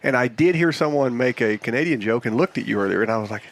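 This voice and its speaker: A man's voice: medium pitch, lively intonation, fast talker. A man interviewing a guest as a podcast host, informal and conversational, with short interjections and follow-up questions. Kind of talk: casual chat.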